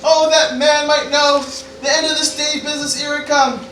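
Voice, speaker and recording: A man's voice: extremely high pitch, flat delivery, moderate pace, sharp tone. A man playing an earnest, noble teenage grandson in an amateur Shakespeare parody radio play. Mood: solemn farewell. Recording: roomy, slightly distant, faintly noisy.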